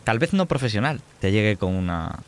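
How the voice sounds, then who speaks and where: A man narrating as game master, addressing a player in measured phrases with some repetition. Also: lowish voice, very animated, slight background noise.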